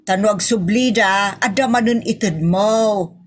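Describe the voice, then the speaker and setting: A woman's voice: medium pitch, slow pace. A woman playing a scolding, exclamatory wife with an Ilocano accent in a comedy audio drama. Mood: insistent.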